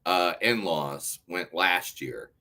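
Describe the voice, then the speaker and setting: A man's voice: sits low, animated, slow, pushed and bright. An American man co-hosting a podcast in casual banter, blunt, jokey and sweary. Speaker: podcast co-host.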